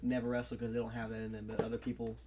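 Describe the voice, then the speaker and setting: A sixteen-year-old young man, a podcast guest, talking in a quick, rambling, laughing way. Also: medium pitch, completely flat delivery, noisy background. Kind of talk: casual chat.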